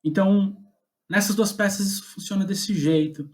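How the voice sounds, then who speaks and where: Brazilian man thinking aloud, haltingly. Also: high voice, steady tone. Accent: Brazilian Portuguese. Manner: halting, thinking aloud, often seeking agreement. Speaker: guest playwright. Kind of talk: reflective interview talk about own writing.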